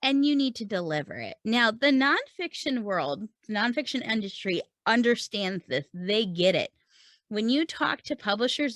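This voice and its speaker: Female podcast host-coach, slightly high voice, dry sound, conversational instruction with emphatic direct address. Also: fairly bright tone, no echo.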